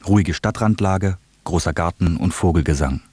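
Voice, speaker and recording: A man's voice: low voice, normal speed, darkish tone, slightly rough, dry recording. A man reading scripted narration for a radio feature.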